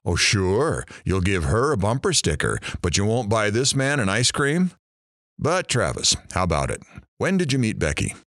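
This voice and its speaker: A man's voice: lowish voice, big pitch swings, normal speed, a bit bright, no room sound, clean studio sound. Man playing a swooping, sarcastic radio DJ. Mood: mocking. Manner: sarcastic, showy. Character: sarcastic, irreverent male radio talk show DJ. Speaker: radio talk show host. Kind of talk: comedic audio drama radio DJ patter.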